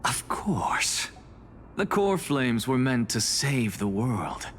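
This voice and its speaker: A man's voice: mid-range voice, big pitch swings, slow pace, a bit bright, slight background noise. A man voicing a harsh, proud scholar in a video game, speaking with dry, theatrical scorn. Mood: dry, resolute. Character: harsh, proud male scholar, sardonic teacher.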